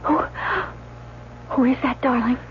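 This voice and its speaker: An actress playing a tender, eager young sweetheart in an old-time radio drama, soft and coy. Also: female voice, high-pitched, level tone, unhurried. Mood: frightened. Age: young adult. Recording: dull vintage radio, faint hum.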